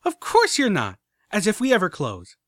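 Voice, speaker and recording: A man doing a hyperactive little pony filly, pitched way up, with a wildly bouncing, squealing delivery. She gushes breathlessly like an overexcited tour guide, and the voice sits dry and close with no room around it. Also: normal speed, no echo. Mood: shrill excitement. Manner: squealing, breathless, bursts of excitement. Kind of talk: audiobook character voice.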